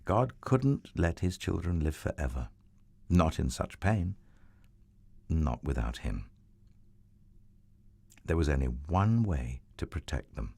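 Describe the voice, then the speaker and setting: A man narrating a children's Bible story in a tender, expressive storybook manner. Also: rumbling low, slow, vocal fry, long pauses, slightly rough, dry recording.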